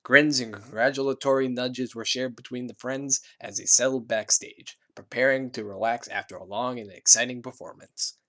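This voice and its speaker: A man's voice: mid-range voice, fairly even intonation, moderate pace, few pauses, sharp tone. A man narrating a scripted story as an amateur fan fiction reader.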